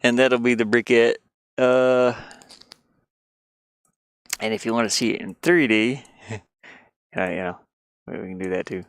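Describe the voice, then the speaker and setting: A man chatting casually on a livestream, rambling and halting, often putting down his own efforts. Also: medium pitch, steady tone, smooth, no echo. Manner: halting, rambling, self-deprecating. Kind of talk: casual livestream chat while sketching.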